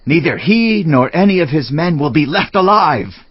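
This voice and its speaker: An actor playing a grave, persuasive royal adviser in a dramatized scripture reading, speaking with measured, emphatic oratory. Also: male voice, a little high, big pitch swings, breathy edge, no room sound, dull audio. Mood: urgent, rousing.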